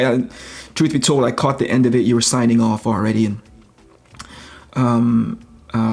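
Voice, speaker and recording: A man with a relaxing, soft-edged voice chats casually on a livestream while he works, thinking aloud and searching for his words. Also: medium pitch. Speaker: livestream host, comic book artist.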